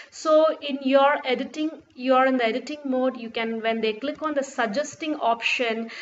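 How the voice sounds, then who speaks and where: A woman's voice: high voice, fairly bright tone. Woman tutor, Urdu mother tongue, explains patiently with restarts; dull lo-fi mic, faint hiss. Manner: explains step by step, frequent fillers and restarts. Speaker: tutorial presenter. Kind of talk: screen-recorded software tutorial. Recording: dull lo-fi sound with faint noise.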